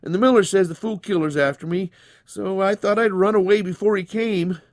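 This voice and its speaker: A man's voice: high voice, a little fast, no echo, studio quality, voice pushed up. A man voicing a polite, earnest, plain-spoken eleven-year-old country boy in a story. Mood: earnest, honest.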